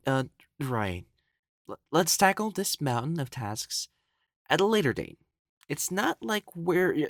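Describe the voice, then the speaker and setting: A man's voice: medium pitch, dry recording, studio quality. A man voicing an elegant, effeminate male matchmaker in a scripted audio roleplay, prim and formal, haughty yet easily flustered. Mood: flustered, sharp.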